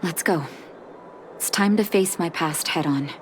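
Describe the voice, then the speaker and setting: A woman playing a grave, resolute young healer in a video game, measured and reflective as she grieves a lost brother. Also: lowish voice, a little hiss or hum, natural voice. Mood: wistful. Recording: game music behind the voice.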